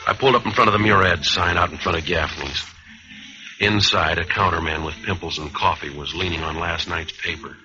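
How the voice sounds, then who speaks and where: Very deep, weary hardboiled American voice, man. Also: a little fast. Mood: weary narrating. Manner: deadpan, clipped, tough-talking. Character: world-weary, hardboiled jazzman narrator, male, Pete Kelly. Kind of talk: mid-century hardboiled radio drama acting, terse narration. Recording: muffled, noisy vintage radio recording.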